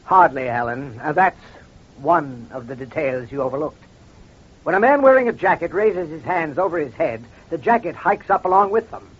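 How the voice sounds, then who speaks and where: An actor playing a shrewd, self-assured crime doctor in an old radio detective drama, speaking in a deliberate, accusatory way. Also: male voice, fairly high pitch, animated, breathy edge. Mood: methodical, confident. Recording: dull, muffled old radio recording.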